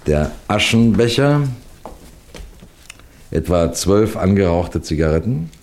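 A shrewd police detective in a 1960s radio play. His voice is very deep and measured. He probes politely, teases gently and narrates his own case with genial authority. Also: sing-song, a little hiss or hum, full character voice. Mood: pensive, teasing. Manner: measured, probing, gently teasing.

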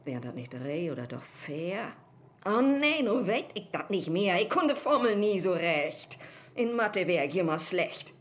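A woman playing a muddled, bossy witch in a comic radio play, muttering theatrical rhymes with a Low German accent. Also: very animated, a little slow. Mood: confused, flustered. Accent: Low German, northern Germany. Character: muddled, bossy witch chanting jumbled number spells.

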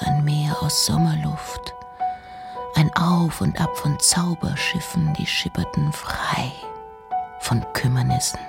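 A woman reads literature aloud in an intimate, measured way, with a creaky, gravelly edge to her voice. Her pace is unhurried and she sometimes sinks toward a whisper. Music plays clearly behind her. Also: sits low. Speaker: reciter. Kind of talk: dramatic literary reading of poems and letters in German.

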